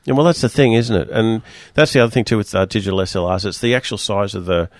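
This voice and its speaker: Croaky fast-talking radio host. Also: male voice, on the low side, dry recording, studio quality. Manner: rambling, animated, quick-talking. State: hoarse, croaky voice. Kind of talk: casual radio chat.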